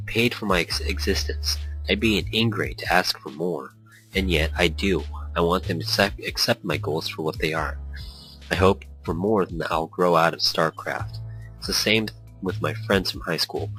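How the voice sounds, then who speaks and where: A man's voice: sits low, pushed and bright, rough recording. A man reading a visual novel aloud as brooding first-person narration, slipping into brief character voices. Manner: brooding, introspective, quick shifts into small character voices. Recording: faint background music.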